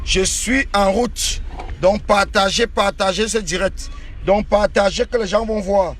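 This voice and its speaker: A man's voice: high voice, steady tone, unhurried. A man from Cameroon livestreaming while driving through the city, boastful and defiant, repeating himself, on a phone mic. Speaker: live streamer. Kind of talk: live stream while driving. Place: inside a car in the city.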